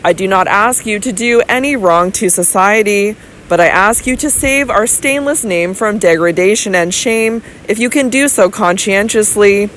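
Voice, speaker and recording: A woman reading the part of an earnest, hesitant young gentleman in an audiobook. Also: medium pitch, normal speed, slight background noise, lightly acted, poor audio.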